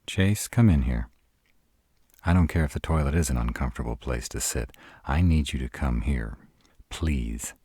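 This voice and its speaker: A man's voice: a bass voice, dark tone, slightly rough, no echo, studio quality. A man playing a sarcastic, brooding male vampire in an intimate roleplay audio drama, proud and guarded but secretly smitten. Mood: urgent, exasperated. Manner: sardonic, exasperated, halting when vulnerable.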